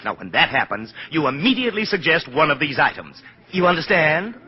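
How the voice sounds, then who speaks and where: An actor playing a fussy, excitable department store publicity man with gushing, prissy delivery in 1940s radio style. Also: fairly high pitch, fairly quick, husky, full character voice. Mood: brisk, instructing. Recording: muffled 1940s radio recording.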